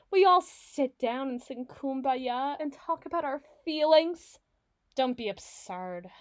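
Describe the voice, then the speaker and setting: A woman's voice: high-pitched, full character voice. A woman playing a foul-mouthed, stubborn villain in an audio roleplay, blustering and sarcastic with her partner. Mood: defiant, agitated. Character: brash, foul-mouthed, hot-tempered villain woman, gruffly soft on partner. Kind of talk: ASMR roleplay voice acting.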